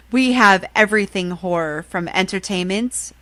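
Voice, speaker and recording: A woman's voice: mid-range voice, fairly bright tone. A woman presenting a podcast segment, reading a prepared countdown report from a script. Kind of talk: scripted countdown report on horror video games.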